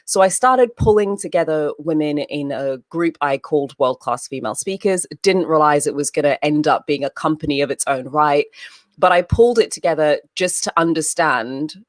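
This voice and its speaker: A woman with a low voice, raised in Papua New Guinea and the UK, chats animatedly as an interview guest. She tells stories freely and often breaks into laughter, heard in a dry, close recording. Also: moderate pace, no echo. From grew up in Papua New Guinea, then the UK. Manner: lively storyteller who laughs often. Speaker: interview guest, event host and moderator. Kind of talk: casual interview chat.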